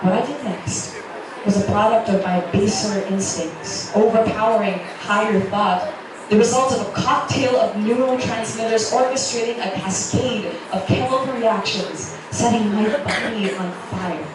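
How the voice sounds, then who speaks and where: A woman's voice: medium pitch, moderate pace. Woman reading fiction in an echoey room. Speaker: narrator reading a romance novel excerpt aloud. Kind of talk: first-person dramatic literary reading. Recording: roomy echo, distant mic, noisy background.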